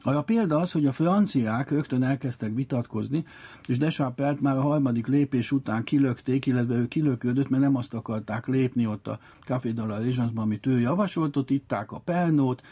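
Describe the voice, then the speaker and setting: Husky male chess expert, explanatory. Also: mid-range voice, warm tone. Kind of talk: explanatory storytelling about history.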